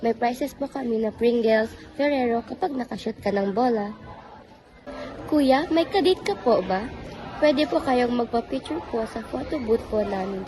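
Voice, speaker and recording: A woman's voice: a little high, moderate pace, a crowd in the background, natural voice. A woman with a Filipino accent playing an eager, pushy young booth vendor in an amateur radio drama, salesy and insistent. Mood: eager, selling. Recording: noisy recording with ambience behind.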